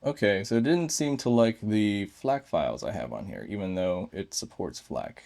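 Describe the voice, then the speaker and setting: A man reviews gadgets casually and without a script. His voice sits on the low side with plenty of gravelly fry. He thinks out loud as he goes, in short, broken phrases. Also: animated, moderate pace. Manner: thinking aloud in short fragments. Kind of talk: casual unscripted hands-on review.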